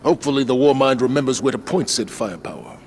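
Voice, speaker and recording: An actor playing a grave, stern male military commander who speaks in a measured, authoritative way. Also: mid-range voice, expressive, a little mellow, slight background noise, natural voice.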